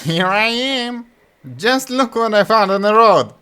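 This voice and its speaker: A man voicing a foolish, loud young male simpleton who whines and bawls gleefully. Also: high-pitched, a little slow, clean tone, pitched above the performer's own voice. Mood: gleeful shouting. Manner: whiny, bawling, gleeful.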